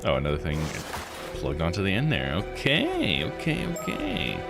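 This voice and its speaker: Male game streamer, lowish voice, musing and tentative, talking over game music. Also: huge pitch swings, moderate pace, gravelly. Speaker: streamer playing a visual novel. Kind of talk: casual game commentary, thinking aloud.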